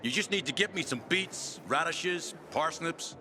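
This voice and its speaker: A man's voice: fairly high pitch, lively intonation, unhurried, noisy background. An actor playing a glib, wheedling male trader with schemes to push, in a video game.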